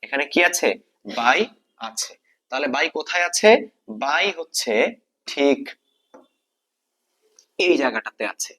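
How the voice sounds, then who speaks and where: A man's voice: a little high, slow pace, pauses often, sharp tone. A man with a Bangladeshi accent teaching a grammar lesson step by step, often asking questions and answering them himself, repeating key points. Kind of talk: exam-prep grammar lesson, explaining step by step.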